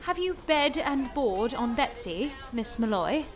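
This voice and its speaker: An actress playing a haughty, wealthy heiress in an audio drama, with formal, imperious diction. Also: female voice, fairly high pitch, big pitch swings, measured pace, soft background music. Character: haughty, wealthy heiress, indignant over her ruin. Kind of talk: scripted audio drama acting.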